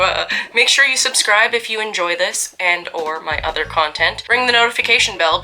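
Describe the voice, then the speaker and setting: Chatty, wry young woman, very bright voice. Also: fairly low pitch. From Canada. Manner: chatty, wry and self-deprecating. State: getting over a cold. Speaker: vlogger telling a story. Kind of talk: casual story time.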